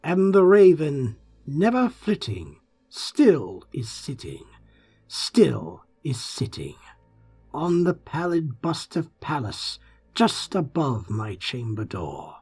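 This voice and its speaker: A man narrating gothic poetry in a theatrical, brooding manner, with deliberate phrasing. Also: a little high, wildly swooping pitch, darkish tone, slightly rough, faint music behind. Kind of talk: dramatic gothic poetry reading.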